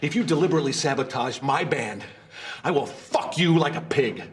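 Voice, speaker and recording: An actor playing an abusive middle-aged music teacher, menacing and profane, swinging from quiet threats to shouts. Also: male voice, fairly high pitch, animated, a little fast, natural voice. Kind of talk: film acting.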